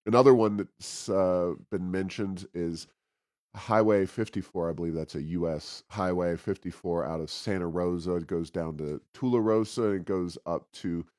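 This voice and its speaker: A man with a low-pitched voice talks politics as a show co-host. His delivery is conversational and halting, with breaks in the middle of sentences. The sound is dry, with very little room in it. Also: darkish tone, no echo. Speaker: talk show co-host, think tank president.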